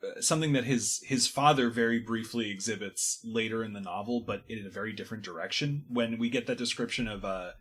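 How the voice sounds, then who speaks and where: A man on a podcast panel discussing literature casually, analytical and wordy, often halting and repeating himself. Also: fairly low pitch, a bit bright, breathy edge.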